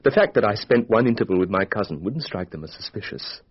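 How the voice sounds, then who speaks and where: An actor playing a young, flippant British aristocrat who is a defensive murder suspect in a radio drama. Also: male voice, fairly low pitch, expressive, fast, breathy edge. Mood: confiding. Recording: dull, band-limited radio drama recording.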